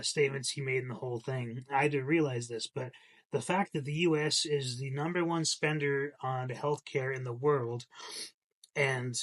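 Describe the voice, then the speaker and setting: A man's voice: mid-range voice, a bit bright. Young male host, mild congestion, loose rambling commentary to camera. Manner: rambling, repeats words, many fillers. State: slightly congested from a cold. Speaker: channel host.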